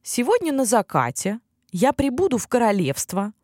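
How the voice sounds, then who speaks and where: A woman voicing a wise, stern, proud magical unicorn in a storybook, speaking formally and with measured admonishment. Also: a little high, big pitch swings, a little mellow, no echo, clean studio sound, voice pushed up. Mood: firm, conditional.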